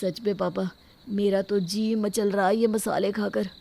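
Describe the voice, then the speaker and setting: A woman acts out a cheeky young man, the son in a cartoon family comedy. He complains melodramatically with whiny sarcasm, at a medium pitch. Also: fairly even intonation, unhurried, vocal fry, mellow. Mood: queasy complaining.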